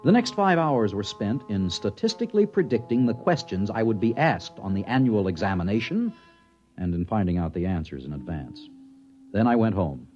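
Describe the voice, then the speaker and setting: An actor playing an anxious, ambitious bureaucrat and narrator in a melodramatic 1950s radio drama style. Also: male voice, fairly low pitch, normal speed, soft background music. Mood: measured narrating. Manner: melodramatic, excitable, clipped narration. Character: anxious, ambitious male bureaucrat, self-righteous and vain. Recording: dull vintage 1950s radio recording.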